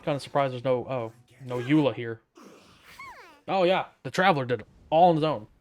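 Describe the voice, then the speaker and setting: A man's voice: mid-range voice, animated, a little fast, a little mellow, a little hiss or hum. A man giving casual gameplay commentary as a streamer, with wry, offhand, muttered asides. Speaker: streamer playing a game.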